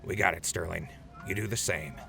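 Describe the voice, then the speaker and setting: A man's voice: low voice, a little fast, creaky, noisy background. An actor playing an easygoing, wily grown man who leads a crew in an audio drama, folksy and unflustered. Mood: reassuring. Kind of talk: post-apocalyptic audio drama acting.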